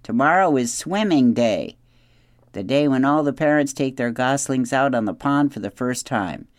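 A man voicing a cheerful, bossy old female goose in an audiobook, speaking in a bright, chatty, instructive way. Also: medium pitch, animated, moderate pace, dry recording, studio quality, lightly acted. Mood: chirpy. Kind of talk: audiobook character voice.

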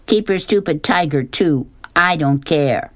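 A man voicing a bold, stubborn young girl who argues insistently and speaks in a raised, brisk voice. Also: fairly high pitch, fairly bright tone, pitched above the performer's own voice. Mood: defiant.

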